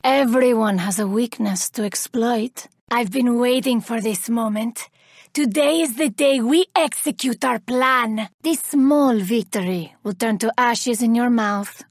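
Vengeful elven woman warrior, haughty, theatrical, deliberate menace, slightly high pitch, dry studio sound, video game character. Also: dry recording. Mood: bitter, menacing.

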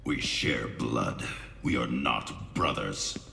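An actor playing a stern, honorable, proud ninja grandmaster in a video game, gravelly and solemn in a commanding way. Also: male voice, very deep voice, takes it slowly, background noise, exaggerated voice. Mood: solemn defiance. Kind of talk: video game cutscene acting. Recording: distant echoing hall under loud music.

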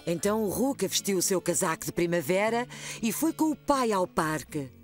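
A woman's voice: lowish voice, animated, moderate pace, faint music behind. A woman narrating a children's story from a script, with a European Portuguese accent.

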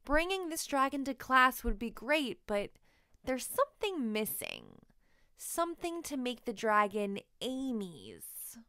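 A woman reading a children's story aloud expressively, with deliberate, emphatic phrasing. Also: fairly high pitch, wildly swooping pitch, sharp tone, clean tone, no room sound, studio quality. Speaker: children's book narrator.

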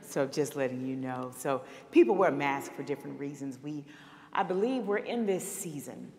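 A middle-aged woman pastor with a slightly raspy, hoarse voice, speaking to her congregation from the pulpit. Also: deep voice, a little mellow. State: recovering from illness, hoarse. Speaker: senior minister. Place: large church sanctuary, at the pulpit. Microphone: pulpit microphone. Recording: long church reverb.

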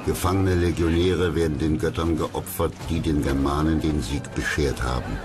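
A man's voice: extremely low pitch, steady tone, moderate pace. A man narrating a documentary from a script in a solemn, dramatic storytelling manner. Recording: music loud behind the voice. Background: loud background music.

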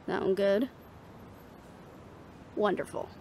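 A woman's voice: mid-range voice, very slow, darkish tone, a little hiss or hum. A woman playing a confident, teasing monster hunter in an audio roleplay, with a wry, coaxing manner. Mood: wry, affectionate. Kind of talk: intimate scripted audio drama acting.

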